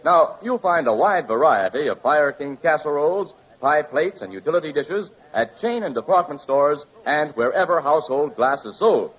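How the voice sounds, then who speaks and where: A man's voice: on the high side, expressive, moderate pace, hardly any pauses, breathy edge. A man announcing in scripted 1940s radio advertising style, with an emphatic sales delivery. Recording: dull old radio recording.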